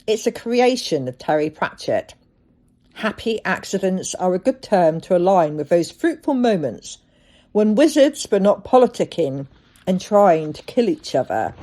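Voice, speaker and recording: A woman hosting a solo podcast, telling things reflectively with deliberate, dramatic phrasing. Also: on the low side, dark tone. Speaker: podcast host.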